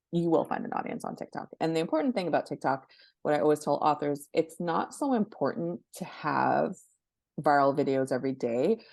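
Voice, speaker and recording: A woman with a notably low voice talks as an expert guest in an interview. Her explanations are conversational, and the sound is dry with very little room in it. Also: darkish tone, no echo. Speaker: guest book marketing expert.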